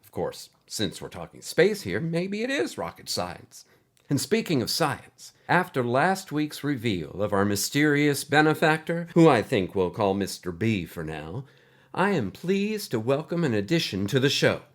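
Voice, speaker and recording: A man's voice: mid-range voice, very animated, measured pace. A man playing an earnest, chatty host of a fringe space podcast, with a theatrical scripted radio-host delivery.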